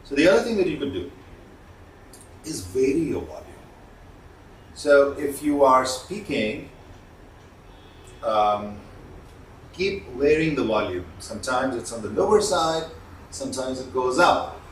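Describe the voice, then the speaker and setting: Roomy, distant-miked male instructor, deliberate delivery. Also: medium pitch, very animated. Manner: deliberate, emphatic, pausing between points. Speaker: communication trainer. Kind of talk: instructional lecture to viewers. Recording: roomy, distant mic, faint background noise.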